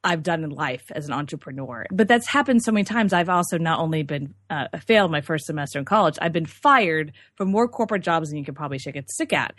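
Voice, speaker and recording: A woman's voice: low-pitched, expressive, fast, hardly any pauses, fairly bright tone, dry recording, studio quality. A woman from Texas with no regional accent, a business coach telling her story as an interview guest in a chatty, candid way with many fillers.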